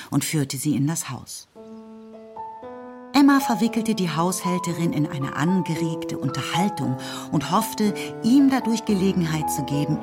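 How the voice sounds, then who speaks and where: A woman narrates a classic novel in a measured, storytelling way. Her voice is low and deep for a woman. Clear music plays underneath her. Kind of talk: scripted literary narration.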